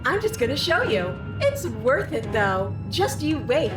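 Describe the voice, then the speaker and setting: A woman's voice: high-pitched, very animated, a little slow, echoey room, far from the mic, music in the background. A woman playing a murderous, taunting princess in a horror game, gleeful and menacing. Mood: gleeful menace. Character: murderous, taunting princess who relishes violence.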